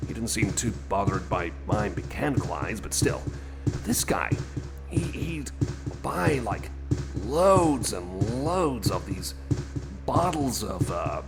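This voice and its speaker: A man playing a friendly, anxious cyborg companion in an ASMR roleplay, rambling hesitantly and repeating himself as he chats to the listener. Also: on the low side.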